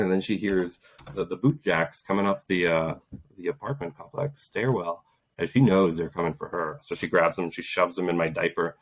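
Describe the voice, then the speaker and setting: A man in his thirties, raised in Poland, a soldier and author telling earnest, reflective stories as an interview guest. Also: deep voice. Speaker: guest, Green Beret and author. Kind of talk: casual interview storytelling.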